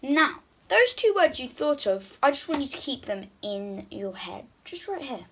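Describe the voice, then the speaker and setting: A woman talks to young children as their story host. Her voice sits fairly high and moves about in a lively way. She addresses her listeners directly and encourages them. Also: unhurried, a little hiss or hum.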